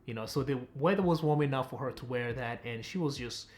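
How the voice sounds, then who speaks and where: A man's voice: mid-range voice, brisk, a little mellow, slight background noise. A young man from Tanzania, a relationship coach, telling viewers a personal story in a direct, chatty vlog style.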